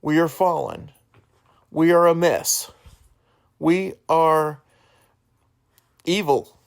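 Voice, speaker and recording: A man hosting a solo podcast in an informal, earnest way, halting and correcting himself as he goes. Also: a little high, vocal fry, clear, resonant tone. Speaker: podcast host.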